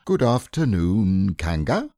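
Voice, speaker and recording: Man voicing a slow, musing toy bear, raised pitch, very sing-song, dry close sound. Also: big pitch swings, warm tone, no echo, heavily acted. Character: simple gentle male teddy bear, dreamy.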